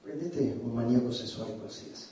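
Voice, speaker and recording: An actor playing a provocative, agitated stand-up satirist on trial, delivering an emphatic theatrical monologue that swings between muttering and shouting. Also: male voice, medium pitch, fairly quick, a little hiss or hum, lightly acted. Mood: bitter, reflective. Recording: echoey, distant stage recording with music.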